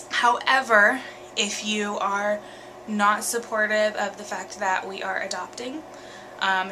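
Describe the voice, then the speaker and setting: A young woman, talking candidly in a home vlog, earnest and full of ums and pauses. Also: mid-range voice, noisy background. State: congested with a cold, sniffly. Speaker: vlogger. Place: bedroom at night.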